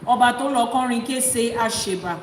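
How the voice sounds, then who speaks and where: A woman's voice: on the high side, moderate pace. Woman teaching and chanting. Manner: repetitive, coaxing questions to viewers; rhythmic, rising chant in long litanies. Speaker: Ifá teacher and priestess. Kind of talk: live-stream teaching and chanted invocation. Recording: echoey reverberant room with background noise.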